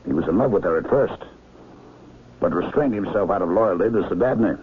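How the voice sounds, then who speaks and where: Knowing gentleman amateur detective, low and very animated, deliberate storyteller, old radio drama. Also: breathy edge, full character voice. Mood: reflective. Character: perceptive older gentleman amateur sleuth, unhurried and knowing. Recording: lo-fi noisy vintage radio broadcast.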